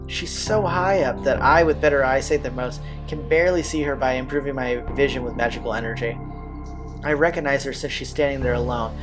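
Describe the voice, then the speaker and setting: Man reading aloud over game music. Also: medium pitch. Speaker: let's-play host reading a visual novel aloud. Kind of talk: reading game text aloud with casual asides. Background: visual novel soundtrack.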